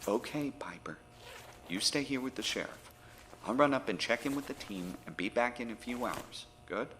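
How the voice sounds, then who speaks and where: An actor playing an earnest, diplomatic federal agent in an audio horror drama, speaking politely and persuasively. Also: male voice, mid-range voice, expressive, normal speed, fairly bright tone, lightly acted. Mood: concerned, urgent. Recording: very reverberant, with noise behind.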